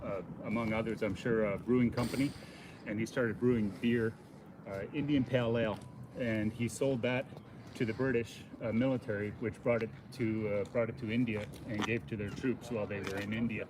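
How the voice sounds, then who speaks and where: A man hosting a casual unscripted walking livestream outdoors, explaining things in a halting, informal way. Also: on the low side, steady tone. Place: outdoors on a city waterfront. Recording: noisy outdoor city audio with traffic.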